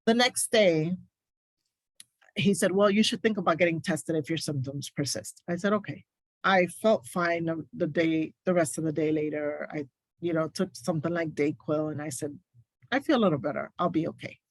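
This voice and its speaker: A woman's voice: sits low, moderate pace, no room sound. A woman nurse, a guest on a podcast, telling her story in emphatic, detailed, casual talk.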